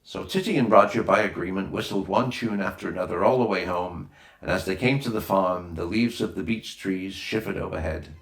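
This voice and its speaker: Male narrator, low pitch, children's storybook reading, echoey room. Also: brisk. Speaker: audiobook reader. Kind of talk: scripted narration of a children's adventure novel. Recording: roomy echo on the voice.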